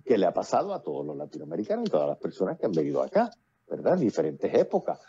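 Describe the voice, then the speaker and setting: A man's voice: low-pitched, huge pitch swings, rapid-fire, mellow, husky, lo-fi sound. A Puerto Rican man in his fifties speaking with a Puerto Rican accent, talking at length as a guest on a video call, with many fillers and restarts. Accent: Puerto Rican Spanish. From Puerto Rico. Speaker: playwright and actor, guest. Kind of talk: casual interview over video call. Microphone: earphones with built-in mic on a video call.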